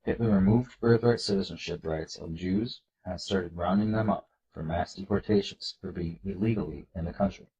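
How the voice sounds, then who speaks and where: Deep-voiced man with a stuffy cold. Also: moderate pace, hardly any pauses, mellow, dull audio, slight background noise. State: congested, nasal from a cold. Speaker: political video host. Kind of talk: casual commentary reading slides aloud.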